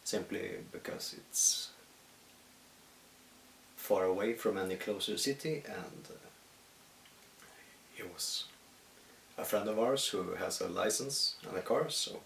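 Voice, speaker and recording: Gravelly low-voiced Swedish man, echoey. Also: fairly bright tone. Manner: halting, thoughtful, many pauses. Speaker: musician. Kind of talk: reflective solo monologue. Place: at home in the countryside. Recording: echoey room, distant mic, faint hiss.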